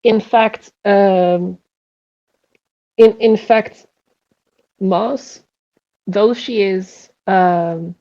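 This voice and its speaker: A woman speaks very slowly in a mellow, fairly low voice with plenty of fry. She improvises haltingly as a game player, and the audio is a little dull. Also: fairly even intonation. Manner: halting, searching for words. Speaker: player in a tabletop roleplaying game. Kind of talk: improvised narration of her character.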